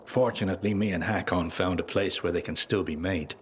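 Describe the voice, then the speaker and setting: An actor playing a cynical, world-weary middle-aged ex-soldier in a game cutscene. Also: male voice, sits low, fairly even intonation, fairly quick, slight background noise, close to an everyday voice. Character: jaded, gruff middle-aged male ex-soldier. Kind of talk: video game cutscene voice acting.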